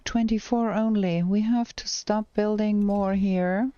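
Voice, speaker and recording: German-accented woman streamer, unhurried, smooth clear voice on dull lo-fi audio, chatty gameplay commentary. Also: mid-range voice, fairly even intonation, dark tone. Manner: slow, thinking aloud while playing. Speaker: game streamer. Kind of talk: casual gameplay commentary with chat replies.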